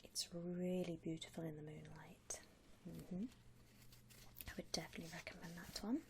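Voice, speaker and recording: A woman playing a gentle vampire coffin saleswoman in a whispered ASMR roleplay, unhurried, with hesitant pauses and soft hums. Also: fairly low pitch, little pitch movement, vocal fry, background noise. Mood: soft, attentive. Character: softly whispering female vampire coffin saleswoman, attentive and discreet. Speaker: ASMR performer playing a shop consultant.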